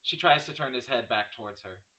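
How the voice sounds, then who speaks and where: A man's voice: mid-range voice, brisk, sharp tone, muffled recording. A man narrating stage directions from a script at a table read, reading plainly and occasionally stumbling over words.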